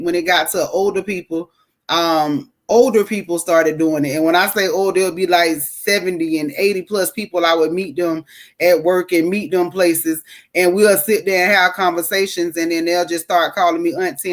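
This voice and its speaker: A woman's voice: on the low side, fairly even intonation, moderate pace. Woman, blunt, plainspoken, storytelling, video call. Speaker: podcast guest. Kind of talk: casual storytelling.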